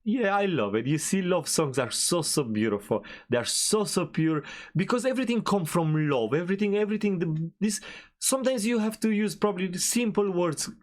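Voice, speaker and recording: A man's voice: high voice, lively intonation, a little fast. A man speaking English as a second language in casual music reaction chat, a co-host who often searches for his words. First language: not English.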